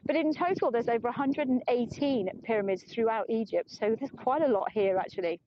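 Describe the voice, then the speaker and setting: A woman's voice: a little high, fairly quick, a little hiss or hum. A middle-aged English woman guiding a live walking tour outdoors, chatty and self-mocking, laughing often and sounding tired. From England. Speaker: tour guide. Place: outdoors on an open plateau. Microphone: phone. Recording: dull lo-fi phone stream.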